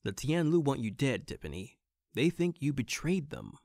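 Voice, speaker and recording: An actor playing a wry hard-boiled private detective in a noir audio drama, both narrating and in dialogue. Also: male voice, medium pitch, big pitch swings, moderate pace, creaky, a little mellow, no echo. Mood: firm.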